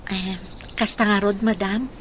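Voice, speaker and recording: A woman's voice: medium pitch, fairly even intonation, a little slow, slight background noise, natural voice. A woman playing a humble, struggling single mother in a radio drama, polite and earnest. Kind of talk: Philippine radio drama acting.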